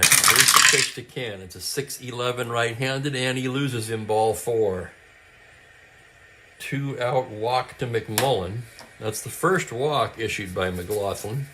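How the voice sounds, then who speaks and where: A man calling a tabletop baseball replay as play-by-play, with brisk calls and stat asides, and a voice that is getting hoarse. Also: medium pitch, very noisy. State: losing his voice, clearing his throat. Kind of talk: play-by-play commentary.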